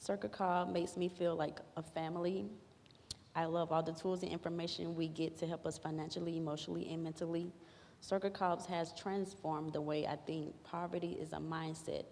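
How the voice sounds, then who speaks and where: A woman's voice: lowish voice, little pitch movement, moderate pace, a little mellow. A woman giving a prepared testimonial to an audience, speaking earnestly in formal phrasing. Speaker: programme participant giving a testimonial. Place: large echoey room at a graduation event. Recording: echoey hall with background room noise.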